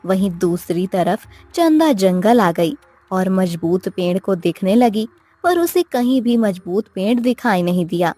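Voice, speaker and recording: A woman's voice: medium pitch, very animated, a little slow, warm tone, no echo, faint music behind. A woman storyteller reading scripted narration for a cartoon moral tale.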